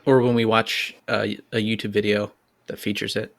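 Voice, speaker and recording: A man's voice: on the low side, little pitch movement, moderate pace, gravelly, no room sound, studio quality. A man co-hosting a podcast in casual, conversational chat. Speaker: podcast co-host.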